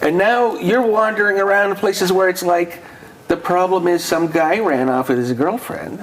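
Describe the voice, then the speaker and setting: A man narrating as game master of a tabletop role-playing game, often pausing and searching for words. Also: high voice, a little hiss or hum, poor audio. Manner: halting, searching for words with many fillers.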